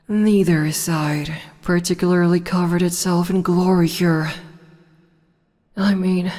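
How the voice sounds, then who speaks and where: A woman voicing a slow, haughty demon empress. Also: on the low side, warm tone, big-room sound. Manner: condescending, slow and teasing. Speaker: voice actress. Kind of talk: ASMR audio roleplay.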